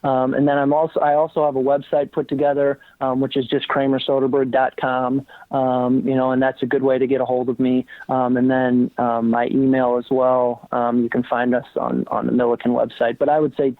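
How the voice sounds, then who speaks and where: A man's voice: medium pitch, monotone, fairly quick, dry recording. A man, a college basketball coach, speaking earnestly as a guest, with many ums and restarts. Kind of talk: casual interview talk. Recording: tinny phone-like line, dry.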